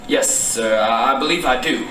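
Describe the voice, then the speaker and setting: A man's voice: medium pitch, moderate pace, pushed and bright, natural voice. Distant, earnest police inspector played by a man. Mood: polite, reserved. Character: polite, earnest New Orleans police inspector. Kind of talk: stage radio-drama acting. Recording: echoey distant stage, noisy.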